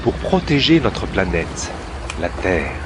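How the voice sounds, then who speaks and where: A man narrating a scripted educational cartoon for children in a deliberate storytelling way, with dramatic pauses. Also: deep voice, sing-song, background noise.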